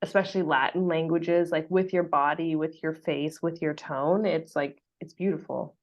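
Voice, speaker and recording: A woman's voice: low-pitched, fairly even intonation, moderate pace, dull audio. A woman with a West Coast American accent hosting a podcast, chatting casually with lots of fillers and frequent laughter. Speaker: podcast host. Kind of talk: casual chat.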